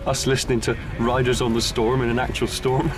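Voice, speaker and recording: Man playing easygoing plumber over noisy rumble. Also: flat delivery, brisk, close to an everyday voice. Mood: chatty. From Kington, Herefordshire, England. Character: friendly, slightly awkward local plumber, a man. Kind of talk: naturalistic radio drama acting. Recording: clear background noise, vehicle rumble.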